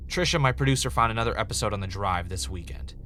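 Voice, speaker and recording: A man acting as a wry, uneasy podcast host in an audio drama, with a casual, halting, conversational delivery. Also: on the low side, animated, sharp tone, slight background noise. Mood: uneasy, searching. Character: Ray, wry, uneasy male podcaster investigating his own death.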